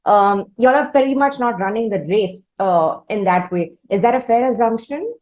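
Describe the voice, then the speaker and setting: A woman's voice: a little high, moderate pace, highs cut off. A woman with an Indian accent hosting a music interview, speaking in an effusive, wordy, roundabout conversational way. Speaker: interviewer, podcast host.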